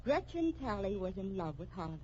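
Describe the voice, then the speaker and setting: A woman's voice: mid-range voice, very animated, brisk, dark tone, natural voice. An American actress in a 1950s radio drama playing a tense woman in a deadly confrontation, dramatic and emphatic. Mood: accusing. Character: tense women in a deadly confrontation, accusing and defiant. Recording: dull, noisy 1950s radio recording.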